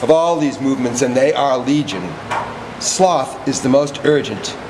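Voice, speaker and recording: A man playing a slow, pompous orator in echo. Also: mid-range voice, background noise, lightly acted. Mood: pompous, declaiming. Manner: declamatory, pedantic, deliberate. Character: Words, servile pompous male orator reciting set speeches. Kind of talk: theatrical stage monologue. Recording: echoey stage play, music behind.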